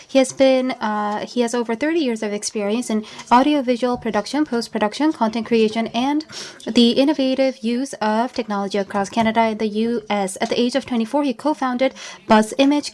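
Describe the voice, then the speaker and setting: A woman's voice: on the high side, a little mellow. A woman moderating a forum, formally reading out a speaker's titles and career. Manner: formal, reads out titles and credits. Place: conference hall.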